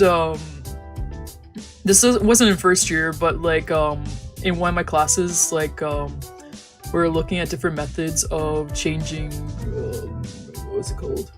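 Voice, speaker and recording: A young woman doing casual let's play commentary and reading a visual novel aloud, with slangy, sweary talk. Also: low-pitched. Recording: music behind the voice.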